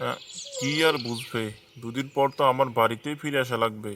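A man playing a teasing village husband who is loyal to his ancestral home, in an animated folk tale. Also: medium pitch, fairly quick, noisy background, natural voice. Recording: loud music behind the voice.

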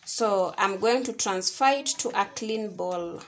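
A woman's voice: mid-range voice, a little slow, a little hiss or hum. A Kenyan woman giving step-by-step cooking instructions in an explanatory tutorial style. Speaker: home cook, video host.

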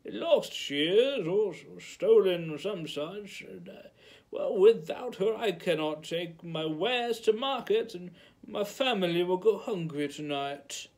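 Guy doing a humble old village man, halting rustic talk, storybook. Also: high voice, expressive, darkish tone, exaggerated voice. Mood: pleading.

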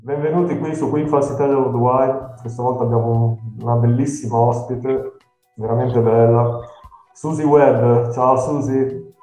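Male host, video call, mellow tone, echoey lo-fi line. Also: medium pitch, steady tone, normal speed, faint music behind. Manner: friendly, laughs between questions. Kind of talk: casual interview hosting.

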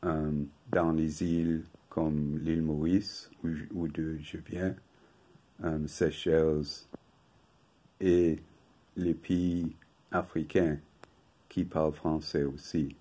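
An older man, native English speaker from England, reading a prepared talk from paper in a slow, measured way at home. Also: very deep voice, level tone, warm tone. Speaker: video host. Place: home room with bookshelf.

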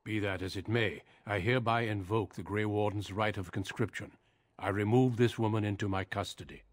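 An actor playing a composed, resolute middle-aged warrior in a fantasy game, formal and authoritative. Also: male voice, fairly low pitch, fairly even intonation, brisk, no room sound, close to an everyday voice.

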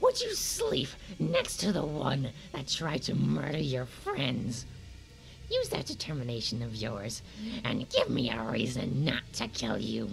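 A woman's voice: rumbling low, huge pitch swings, unhurried, vocal fry, music in the background, full character voice. A woman voicing a bitter, soulless talking flower in a comic dub, scornful and dramatic, swinging from sullen to menacing.

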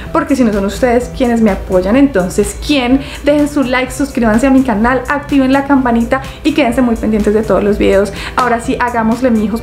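A young Colombian woman with a Santander accent, hosting a casual reaction video from her living room and talking in a chatty, animated way with frequent laughs. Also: on the high side. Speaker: YouTube reactor. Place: living room, indoors, on a sofa.

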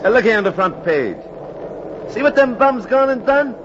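An actor playing a scoffing, quarrelsome subway rider with a New York accent in a 1940s radio play. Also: male voice, very high-pitched, animated, normal speed. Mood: sarcastic. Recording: muffled 1940s radio recording, faint hiss.

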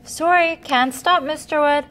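A woman reading a picture book as an eager, hurried little boy reciting his errand list urgently. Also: sits high, fairly bright tone. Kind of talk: children's picture book read-aloud.

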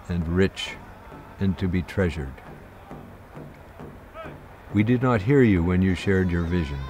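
A man reading a formal apology aloud, with grave, earnest, slow phrasing. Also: deep voice, a little mellow, slight background noise. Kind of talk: solemn read statement. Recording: voice-over over clear instrumental music.

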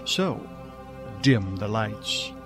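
Male show host, animated pitch, chatty, theatrical, jokey, faint music behind. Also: extremely slow.

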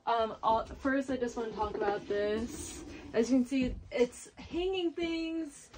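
A woman's voice: on the high side, unhurried, slight background noise, rough recording. Chatty young woman touring her tiny house. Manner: relaxed, chatty, with fillers and small laughs. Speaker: tiny house owner giving a tour. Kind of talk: casual home tour. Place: small wooden tiny house, indoors.

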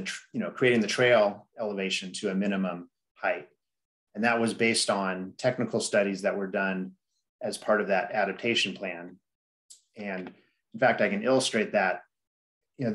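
A middle-aged man with a lowish voice walks through slides on a video call from an indoor office. He explains at a measured pace and fills his pauses with "um" and "you know". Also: a bit bright. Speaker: district staff presenter. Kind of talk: slide presentation at a public meeting.

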